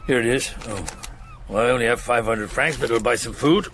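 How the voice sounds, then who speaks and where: An actor playing a shrewd male chief inspector in a radio drama, with a gravelly husky voice and measured, dry delivery. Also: medium pitch, a bit bright, a little hiss or hum, natural voice. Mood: brisk, kindly.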